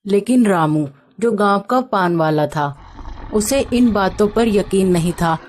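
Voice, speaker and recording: A woman's voice: mid-range voice, lively intonation, unhurried, a little mellow. Woman narrating a scripted story.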